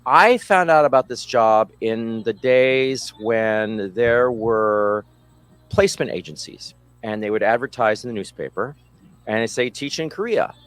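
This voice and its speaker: A man's voice: mid-range voice, unhurried, a bit bright, clear, resonant tone, no room sound. A middle-aged man, telling a story in a reflective way. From United States. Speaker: interviewee, English teacher.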